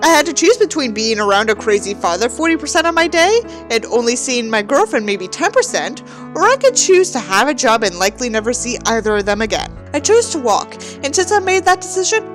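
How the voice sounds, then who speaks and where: High, animated woman reading with sarcasm. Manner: sarcastic, theatrical, mocking. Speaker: host reading a book aloud with mocking commentary. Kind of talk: dramatic reading with sardonic asides.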